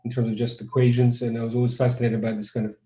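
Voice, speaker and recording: A man's voice: mid-range voice, flat delivery, very fast, dark tone, reverberant. A man from Croatia, a guest neuroscientist, answers interview questions over a video call in a thoughtful, conversational way and often restarts his sentences.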